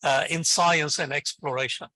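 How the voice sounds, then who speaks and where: A man's voice: fairly high pitch, fairly even intonation, moderate pace, sharp tone. An older man with a Lebanese accent, a native Arabic speaker, answering interview questions in an enthusiastic, encouraging, conversational way. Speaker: invited guest, professor and scientist.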